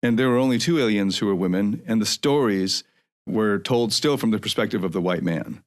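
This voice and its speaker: A man's voice: fairly low pitch, a little fast. A man in his forties from North America hosting a podcast alone, speaking in a reflective, earnest way and often restarting as he thinks aloud. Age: 40s. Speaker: podcast host. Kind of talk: solo podcast monologue.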